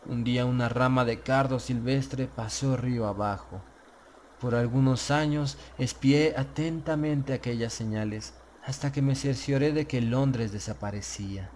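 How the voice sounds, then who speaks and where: A man narrating a gothic tale as an audiobook, in a solemn, measured, literary delivery with phrases that fall away at the end. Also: mid-range voice, steady tone, darkish tone, a little hiss or hum. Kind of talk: gothic horror story narration in Spanish, first person. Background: background music.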